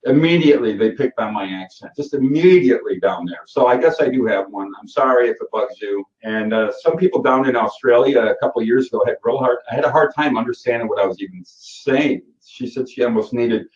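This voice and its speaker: A man's voice: mid-range voice, a little fast, few pauses. Rambling Michigan man, roomy distant sound. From Michigan, USA. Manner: rambling and folksy, with frequent fillers and self-corrections. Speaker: course host. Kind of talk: casual live Q&A session. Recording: roomy, distant and muffled webinar audio.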